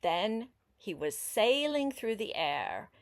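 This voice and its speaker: An older woman reading a picture book aloud to a child like a grandmother, unhurried and expressive, pausing to explain words and ask questions. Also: medium pitch. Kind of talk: storybook read-aloud with chatty asides to a young listener.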